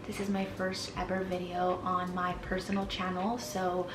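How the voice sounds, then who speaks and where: A woman's voice: on the low side, monotone, moderate pace, reverberant. Young woman vlogger with Spanish as her first language, chatty and candid, recorded from a distance with noise behind. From Mexico. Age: 20s. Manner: candid, chatty, frequent fillers. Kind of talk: casual chat to camera. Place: her apartment.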